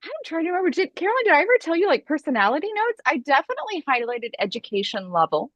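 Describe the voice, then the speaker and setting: A woman's voice: sits high, sing-song, very fast, fairly bright tone, dry recording, lo-fi sound. American lady author explaining stuff in detail over a video call, dry sound. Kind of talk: casual video call chat.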